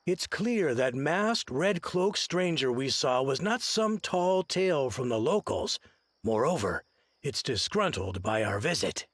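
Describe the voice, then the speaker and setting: An actor playing an earnest, articulate gentleman private detective in a scripted mystery game. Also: medium pitch, big pitch swings, a little slow, heavily acted. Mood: urgent, intrigued. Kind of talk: scripted adventure game dialogue.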